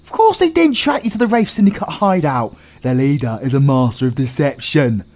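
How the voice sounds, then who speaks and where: A man's voice: mid-range voice, very animated, fairly quick, darkish tone. A British man playing a cocky, sardonic supervillain antihero in an audio roleplay, with blunt, sweary, colloquial banter. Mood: exasperated, cocky.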